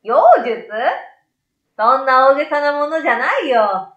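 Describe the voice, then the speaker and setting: A woman voicing an excitable, incredulous young boy with emphatic, casual childlike speech in a storybook reading. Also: sits high, unhurried, reverberant, lo-fi sound, pitched above the performer's own voice, heavily acted. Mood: matter-of-fact. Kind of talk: storybook radio drama reading.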